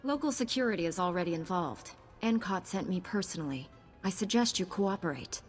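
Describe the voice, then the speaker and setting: Calm, resolute heroine actress, lowish voice. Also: female voice, a little fast, soft background music, natural voice. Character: calm, resolute, compassionate female space knight. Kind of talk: video game voice acting.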